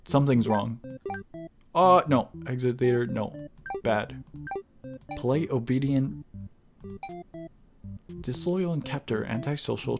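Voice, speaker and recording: Man, game streamer, mid-pitched voice, slow delivery, casual slangy commentary, reads game text aloud. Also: creaky. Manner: informal, slangy, muttering choices to himself.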